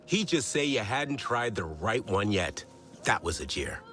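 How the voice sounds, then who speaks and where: Game casting: a mourning man, low register with big swings in pitch, sorrowful, halting delivery. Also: sing-song, bright, forceful tone, exaggerated voice. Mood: grief-stricken. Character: grief-stricken man mourning a lost companion. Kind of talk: video game character acting.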